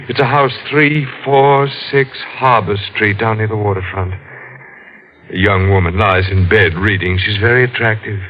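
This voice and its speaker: An actor playing a calm, murderous man who claims prophetic visions, in 1940s radio acting. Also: on the low side, close to an everyday voice. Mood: ominous. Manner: calm, measured menace. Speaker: villain in a radio drama. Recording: muffled vintage radio recording.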